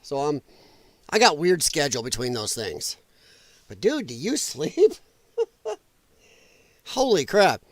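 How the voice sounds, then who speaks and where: Male stream host, high voice, dry room sound; slightly rough, rambling, self-mocking banter with the chat. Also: fairly bright tone, dry recording. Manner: rambling, self-deprecating, chatting to viewers in the chat. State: rough voice, occasional coughing. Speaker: livestream host. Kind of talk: casual late-night livestream chat. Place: home room at night, animals nearby.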